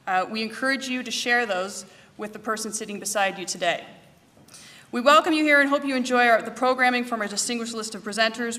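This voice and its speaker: A woman's voice: mid-range voice, bright, forceful tone. Woman hosting in a reverberant hall. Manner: brisk, formal thank-you list, then welcoming speech. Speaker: event host. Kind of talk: conference opening remarks. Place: large conference hall. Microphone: podium microphone, at times off.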